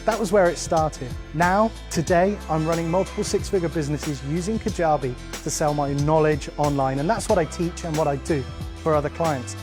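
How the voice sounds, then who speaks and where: A man from England telling his story as a business owner in a promotional testimonial. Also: fairly high pitch. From England, near London. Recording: music bed under the voice. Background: music.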